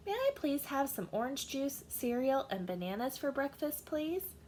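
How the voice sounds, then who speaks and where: A little boy goat from a storybook, played by a woman reading aloud, earnest and polite but picky about food. The voice is high and lively, with childlike phrasing and slight background noise. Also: moderate pace.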